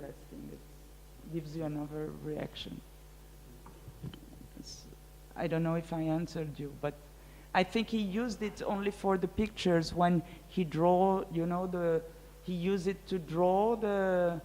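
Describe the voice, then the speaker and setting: A woman whose first language is French, answering audience questions as a guest lecturer, thinking aloud with many pauses and fillers. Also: fairly low pitch, mellow, slight background noise. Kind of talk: live public Q&A. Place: large lecture hall. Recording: reverberant hall.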